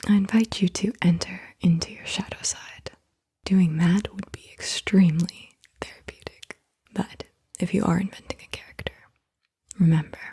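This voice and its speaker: A woman ASMR host whispering softly and deliberately in short, breathy phrases, guiding the listener through questions. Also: lowish voice. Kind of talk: soft-spoken whispered ASMR, guided questions.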